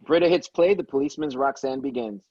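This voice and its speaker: A man's voice: high-pitched, sing-song, fast, gravelly, a little mellow, dry recording. A man reading stage directions as the narrator of a scripted table read. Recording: dull, slightly lo-fi sound.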